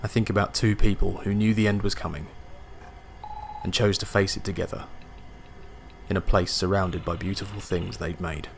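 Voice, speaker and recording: Guy with a lowish voice reading a scifi creepypasta, measured and dramatic, noisy atmospheric backing. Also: fairly even intonation, gravelly. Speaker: audiobook narrator. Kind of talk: scripted first-person sci-fi horror narration. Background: atmospheric music and sound effects.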